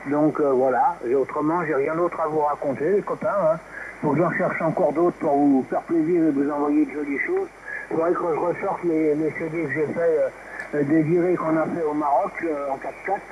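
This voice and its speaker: A man with a slightly high voice talks over a dull, clearly noisy radio link. He is an amateur operator, casual and familiar, rambling along with many little tag words. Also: steady tone, moderate pace. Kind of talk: casual radio chat, reminiscing.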